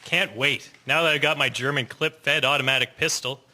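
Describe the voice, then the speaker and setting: Man playing an eager young airman, sharp bright voice. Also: medium pitch, normal speed. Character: eager young airman, proud gun owner, later alarmed.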